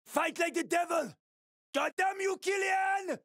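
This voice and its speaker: A man's voice: very high voice, unhurried, a bit bright, no room sound, raised voice, studio quality, full character voice. A male actor voicing a wry, streetwise survivor guide and ex-soldier in a video game, with colloquial, foul-mouthed talk. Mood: urgent, alarmed. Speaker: video game companion character.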